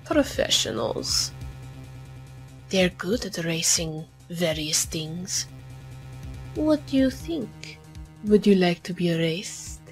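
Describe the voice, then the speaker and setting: A woman voicing a cool, condescending female blackmailer with a deliberate, taunting delivery in an amateur game fandub. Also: fairly low pitch, expressive, soft background music. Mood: quietly menacing.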